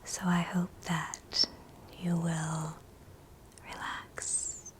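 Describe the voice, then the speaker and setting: A woman whispering a scripted ASMR guided meditation in a breathy, gentle, soothing way, leaving long pauses between short phrases. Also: fairly low pitch, completely flat delivery.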